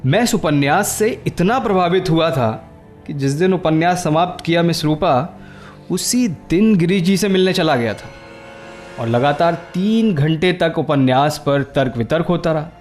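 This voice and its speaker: A vain, teasing young suitor in a radio play. His voice is high and he takes his time, savouring his own flamboyant jokes. Soft music sits behind him. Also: animated, measured pace. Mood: boastful. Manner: flamboyant, jokey, self-satisfied, drops English phrases. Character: vain, teasing, lovesick handsome young man. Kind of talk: Hindi radio play acting.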